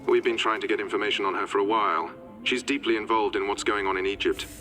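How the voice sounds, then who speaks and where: Low-voiced, businesslike intelligence handler. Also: male voice, fairly even intonation, sharp tone. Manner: brisk, matter-of-fact, official. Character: businesslike male intelligence handler giving orders. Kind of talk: video game mission briefing. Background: music.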